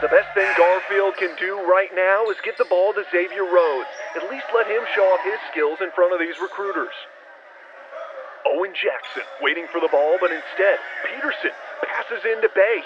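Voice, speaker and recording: An actor playing an excitable play-by-play basketball broadcaster in an audio drama, calling a game like sports radio. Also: male voice, sits high, moderate pace, lightly acted. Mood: brisk, assured. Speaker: sports commentator. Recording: dull radio-style broadcast with noise.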